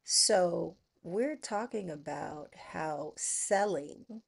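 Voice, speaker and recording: An affectionate woman hosts a podcast, drawing out her words slowly. Her pitch rises and falls in a lively, animated way, and her voice sits fairly low. She addresses her guest in a warm, familiar tone. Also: gravelly, husky. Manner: affectionate, calls guest darling and girl. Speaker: podcast host. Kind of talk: interview conversation.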